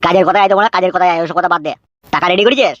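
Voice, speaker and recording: Fast-talking swaggering cartoon gangster, acted by a man. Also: sits high, fairly bright tone, no room sound, highs cut off. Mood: brash, teasing. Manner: crude, cocky, bragging. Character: swaggering boastful male gangster and mafia fixer. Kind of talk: comedy cartoon dubbing.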